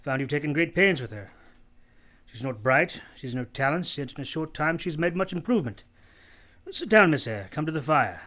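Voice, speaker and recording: An actor playing a proud, brusque gentleman master of a house in a radio play, with a curt, imperious and halting manner. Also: a little high, very animated, full character voice. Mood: stern, appraising.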